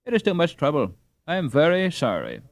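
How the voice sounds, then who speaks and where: Man voicing an earnest, lively Dutch boy. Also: on the high side, a little fast, no echo, voice pushed up. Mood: apologetic. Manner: earnest, deferential.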